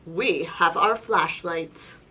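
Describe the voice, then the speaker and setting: A calm storybook dad cat, acted by a woman. The delivery is slow and steady, pitched a little low, and meant to reassure. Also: breathy edge, exaggerated voice, rough recording. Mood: reassuring.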